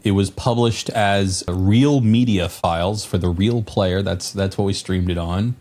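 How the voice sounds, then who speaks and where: A man co-hosting a podcast, chatting in a relaxed, easygoing way and reminiscing. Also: deep voice, unhurried. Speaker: podcast co-host. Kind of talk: casual podcast chat.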